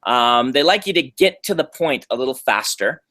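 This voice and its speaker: Chatty man pausing with ums. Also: mid-range voice, lively intonation, sharp tone. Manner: thoughtful, frequent ums and restarts. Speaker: author being interviewed. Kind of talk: casual interview answers.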